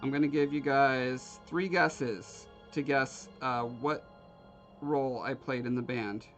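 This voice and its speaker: A man's voice: mid-range voice, fairly even intonation, slow, a bit bright, faint music behind. A man from Alaska hosting a livestream, chatting casually and joking with his viewers while he carves. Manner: rambling, joking with live chat. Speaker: livestream host. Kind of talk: casual chat while carving.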